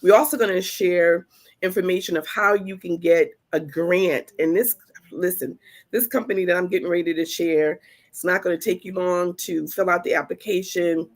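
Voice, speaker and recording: A woman hosting a podcast in a chatty, animated way, a little congested from the flu. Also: on the low side, moderate pace. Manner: chatty, animated storytelling with laughs. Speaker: podcast host. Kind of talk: casual podcast chat.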